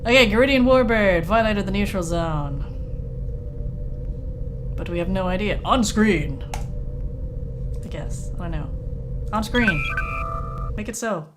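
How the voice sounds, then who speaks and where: A woman's voice: mid-range voice, lively intonation, a little slow, long pauses. Playful woman doing casual gameplay commentary. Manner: playful, joking asides. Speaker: gamer, let's play host. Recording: game music behind the voice. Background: video game music.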